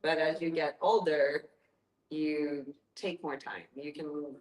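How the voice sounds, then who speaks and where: A woman's voice: low voice, steady tone, slow, darkish tone. A woman moderating a casual group discussion in an informal, conversational way. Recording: echoey room.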